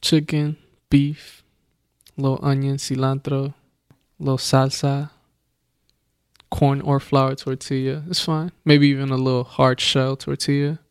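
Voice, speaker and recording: A man's voice: medium pitch, flat delivery, unhurried, smooth, dry recording, studio quality. A man chatting casually as a podcast co-host, laid-back and slangy, laughing often. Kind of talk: casual chat. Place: Los Angeles.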